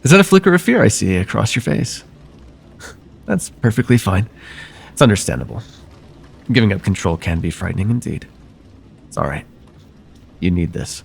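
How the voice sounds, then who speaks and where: A man playing a soothing, quietly possessive vampire therapist in an ASMR roleplay, soft-spoken and deliberate, with formal wording and hypnotic pauses. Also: lowish voice, very animated, gravelly, a little hiss or hum. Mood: coaxing, possessive. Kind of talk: ASMR roleplay, slow intimate monologue.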